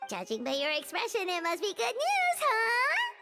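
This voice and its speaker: An actor voicing a small, excitable, cheeky creature mascot in a video game, squeaky and brash. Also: moderate pace, over loud music, heavily acted. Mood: excited. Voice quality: squeaky, cartoonish. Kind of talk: animated video game voice acting.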